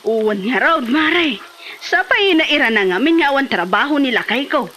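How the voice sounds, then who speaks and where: A woman plays an eager, plaintive village neighbour and mother in a comedy drama. Her high, bright voice has an Ilocano Filipino accent. She speaks expressively, with wide swings in pitch. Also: animated, normal speed, slight background noise. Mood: plaintive, grateful.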